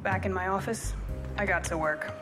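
A woman's voice: medium pitch, moderate pace, lightly acted. Radio noir lead: woman playing a hard-boiled, no-nonsense female sleuth, wry, over prominent music. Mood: wry narration. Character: cunning, brisk, no-nonsense woman detective. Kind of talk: radio drama, noir first-person narration. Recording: music under the voice.

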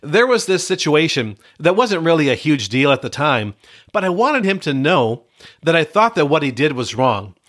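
A man's voice: on the high side, sing-song, moderate pace, fairly bright tone. Congested middle-aged male podcast host. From Michigan, USA. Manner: earnest, conversational, with frequent uh fillers. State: congested from a cold. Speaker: podcast host, pastor and coach. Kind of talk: solo podcast monologue.

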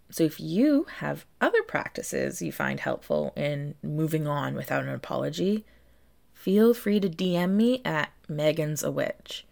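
Low-voiced woman podcaster with some creak. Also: darkish tone. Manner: earnest, addresses listeners directly. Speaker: podcast host and tarot reader. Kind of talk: reflective solo podcast monologue, tarot reading.

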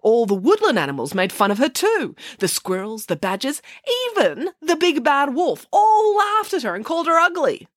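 A woman's voice: high-pitched, big pitch swings, moderate pace, few pauses, dry recording, studio quality, voice pushed up. A woman voicing a firm, theatrical nanny telling the children a fairy tale. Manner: firm, matter-of-fact storyteller. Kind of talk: audiobook character voice.

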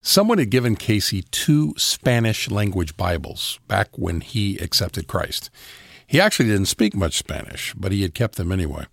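A man narrating a true story in a steady, serious scripted style. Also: fairly low pitch, normal speed, gravelly, dry recording, studio quality.

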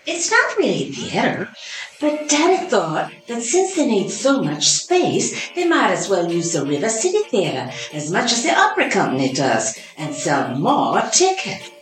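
A woman's voice: mid-range voice, wildly swooping pitch, measured pace, echoey room, distant mic, faint music behind, poor audio. A woman playing a plucky, brave sidekick in an amateur radio play. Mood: urgent. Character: adult female detective's sidekick, plucky and brave.

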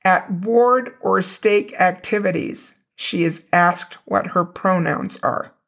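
A woman with a hoarse, raw voice, hosting a casual religious podcast and rereading quoted passages for emphasis. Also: on the low side, slow, a bit bright. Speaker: podcast host.

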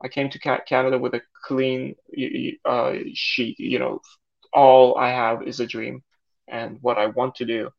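A young man whose first language is Turkish, answering podcast questions in a halting way, with many fillers and restarts. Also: mid-range voice, level tone, gravelly, dull audio. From Saudi Arabia. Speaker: guest, startup founder.

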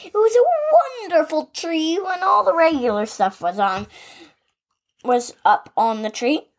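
A woman reading a children's picture book aloud as podcast host, in an animated, expressive way with asides. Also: extremely high pitch, unhurried. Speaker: story reader, podcast host.

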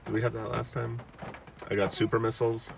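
A middle-aged man, a podcast host and parent, chatting casually with his child, relaxed and chuckling, asking lots of questions. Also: medium pitch, fairly quick, gravelly, background noise. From United States.

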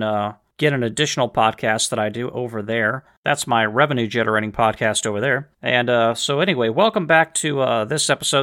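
A man talks through a solo podcast with a bright, forward tone. His voice sounds tired after a long day, and he rambles, restarting sentences and filling pauses as he goes. The pitch sits in an ordinary middle range. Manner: rambling with frequent restarts and fillers. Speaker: podcast host. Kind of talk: casual solo podcast monologue. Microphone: headphones on, home podcast mic.

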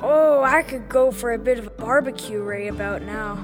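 A woman's voice: on the high side, moderate pace, music in the background, lightly acted. A woman playing an easygoing, food-loving young boy in a children's audio drama, speaking in a casual, chummy way. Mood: hungry, musing.

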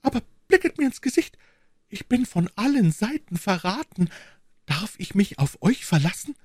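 A man's voice: sits high, very animated, normal speed, slightly rough, no echo, clean studio sound, voice pushed up, full character voice. A man voicing an anguished, fearful queen who exclaims breathlessly and dramatically in a storybook reading. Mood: alarmed, doubting. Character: anguished queen, high-pitched, fearful and imploring.

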